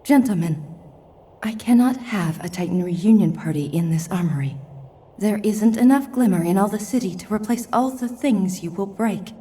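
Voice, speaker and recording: A woman playing a firm, proper armory keeper in an audio drama, with a measured, formal delivery. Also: medium pitch, lively intonation, warm tone, slightly rough. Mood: stern. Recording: faint background noise.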